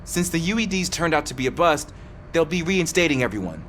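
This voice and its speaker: Casting: discouraged rookie policeman, lighter high-set voice, resigned, game cutscene. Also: big pitch swings, fairly quick, fairly bright tone, slight background noise. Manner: self-deprecating, resigned. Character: dejected, self-deprecating aspiring male police officer. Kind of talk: video game cutscene acting.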